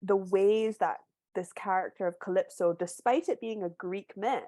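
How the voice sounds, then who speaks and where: Woman from barbados, bit hoarse w a cold, author chatting on a video call, dry sound, lots of little restarts. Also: medium pitch, moderate pace, dark tone, no room sound. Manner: thoughtful, storytelling, many small restarts. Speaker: author guest. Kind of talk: casual book club video call conversation.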